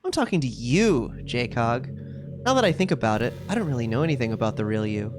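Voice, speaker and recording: A man's voice: medium pitch, sing-song, brisk, pitched below the performer's own voice, natural voice. A man voicing a sweet, possessive, self-aware schoolgirl from a video game in a chatty, cutesy, confiding way. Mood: sweetly confiding. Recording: music playing behind the voice. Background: game music.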